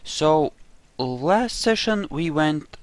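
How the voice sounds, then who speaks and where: A man's voice: fairly high pitch, expressive, extremely slow, no room sound. Man giving casual, dry-sounding game commentary. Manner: chatty, thinking aloud. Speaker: gamer narrating a playthrough.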